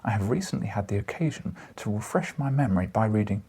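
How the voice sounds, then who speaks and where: A man voicing a formal, flattering letter read aloud in an audiobook, pompous and deferential. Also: fairly low pitch, fast, husky. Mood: pompous flattery. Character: formal male letter-writer, flattering, earnest, quietly challenging.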